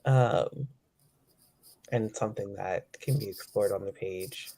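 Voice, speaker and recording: A man's voice: lowish voice, takes it slowly, gravelly, warm tone, slightly rough. A man speaking as a poet being interviewed, reflective and tentative, repeating words as he works out a thought.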